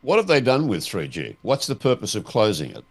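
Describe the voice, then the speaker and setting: An Australian man with a lowish voice hosts a casual discussion show. He puts questions to his partner and reads out news items in a conversational setting. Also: huge pitch swings, brisk, breathy edge. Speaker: show host.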